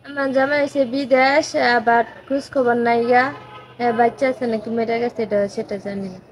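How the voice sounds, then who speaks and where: A woman's voice: fairly high pitch, steady tone, moderate pace, slight background noise, low-quality recording. A woman with a rural regional Bangladeshi accent giving short, plain answers as a guest in a casual interview.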